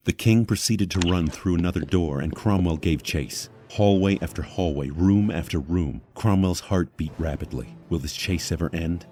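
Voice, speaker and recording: A man narrating a scripted fantasy audio drama in a measured, dramatic storyteller's manner. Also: sits low, warm tone.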